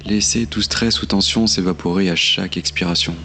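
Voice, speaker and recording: A man leading a guided meditation in a calm, soothing, measured delivery with long pauses. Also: low-pitched, a little hiss or hum. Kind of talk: slow guided meditation narration. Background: meditation music.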